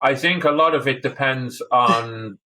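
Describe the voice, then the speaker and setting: Guy on a podcast, restarts his sentences a lot, average pitch. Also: sharp tone, slight background noise. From North Wales. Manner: halting, repeats words while thinking. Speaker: podcast guest. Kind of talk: casual conversation.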